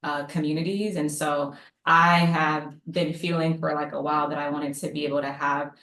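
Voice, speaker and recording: A woman's voice: low-pitched, little pitch movement, normal speed, echoey room, poor audio. A woman in her thirties from Maryland, a psychologist guest talking casually on a video call, chatty and saying "like" a lot. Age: 30s. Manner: warm, chatty, uses "like" often. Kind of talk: casual panel talk.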